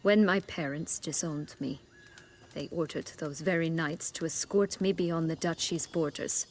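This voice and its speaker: An actress playing a proud, bitter exiled noblewoman in a video game, with haughty, formal, measured speech. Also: deep voice, a little hiss or hum.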